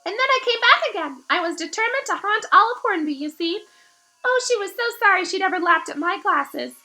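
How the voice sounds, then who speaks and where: A plaintive ghost girl from a storybook. The voice floats far up in pitch and rings bright. She is wistful, theatrical and full of dreamy self-pity. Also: animated, brisk, faint music behind, exaggerated voice.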